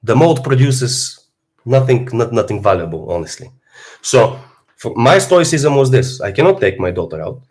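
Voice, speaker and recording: Hoarse guy on a livestream, blunt, swears, goes on about life philosophy. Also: mid-range voice, very animated, normal speed, darkish tone. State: hoarse from a cold. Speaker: fitness streamer. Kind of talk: casual livestream monologue.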